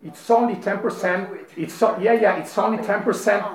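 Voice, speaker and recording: A man speaking as a panelist, halting with frequent restarts and "right?" tags. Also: sits high, steady tone, a crowd in the background. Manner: halting, many restarts and tag questions. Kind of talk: panel talk on politics. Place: lecture room. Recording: echoey room, faint noise.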